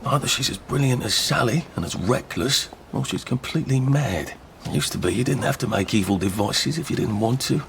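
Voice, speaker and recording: A man's voice: medium pitch, expressive, a little fast, vocal fry, background noise. An actor playing a mild, hesitant, wry English everyman adventurer in a video game, musing politely in a British accent. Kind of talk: video game character acting.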